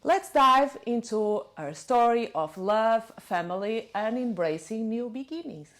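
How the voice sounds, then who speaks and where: A woman's voice: fairly high pitch, lively intonation, a bit bright. Woman hosting an interview. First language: Portuguese. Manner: measured, reads a formal introduction. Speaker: podcast host. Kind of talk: scripted intro then interview questions. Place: guest's home.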